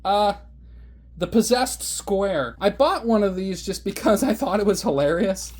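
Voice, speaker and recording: A man with a high voice, casually showing his hobby collection in a sarcastic, exasperated, exaggerating rant. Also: animated, measured pace, a little mellow, faint music behind. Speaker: hobbyist video host. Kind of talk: casual show-and-tell rant.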